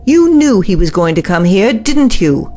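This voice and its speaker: A woman's voice: medium pitch, big pitch swings, normal speed, mellow, natural voice. Woman acting a stern, defiant scientist, music behind. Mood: suspicious. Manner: stern, dismissive. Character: defiant, secretive female scientist, stern project head. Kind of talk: audio drama acting.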